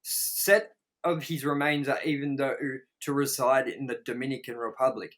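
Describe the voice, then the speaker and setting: A man's voice: medium pitch, normal speed, a bit bright. A man hosting a casual, sweary movie review show, talking in a sarcastic, exasperated way.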